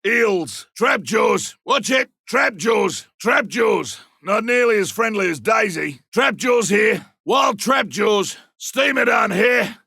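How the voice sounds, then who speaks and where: A seasoned Australian monster hunter for a video game, cocky and colloquial. The voice is gravelly and pushed fairly high for a man, with a forceful bark in a dry, close recording. Lines come as blunt field callouts. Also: lively intonation, slow pace, no room sound, full character voice. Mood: shouted warning. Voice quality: gravelly, rough. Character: gruff male monster hunter, rugged, cocky, seasoned trapper.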